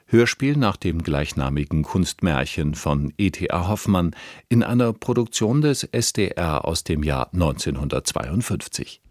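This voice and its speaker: Deep formal male radio announcer. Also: expressive, a little slow, no echo, clean studio sound.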